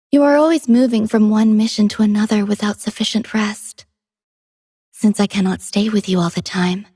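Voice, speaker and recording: Woman voicing a soft-spoken, gentle android musician girl. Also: mid-range voice, no room sound, clean studio sound, natural voice. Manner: soft-spoken, tender, poetic, measured. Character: gentle devoted female android musician, poetic and graceful. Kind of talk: scripted game character voice lines.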